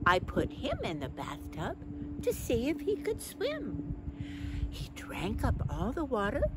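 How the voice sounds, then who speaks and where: An older woman recites a children's rhyme outdoors in a high, playful sing-song. Her pitch leaps dramatically from word to word, and there is clear ambient noise around her. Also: wildly swooping pitch, a little slow. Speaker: children's song and fingerplay performer. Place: outdoors under a tree in a park.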